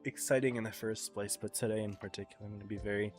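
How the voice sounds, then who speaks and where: A man casting an esports match on a live stream, congested and tired, calling the play in a relaxed, subdued way. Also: on the low side, fast, soft background music. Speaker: esports caster.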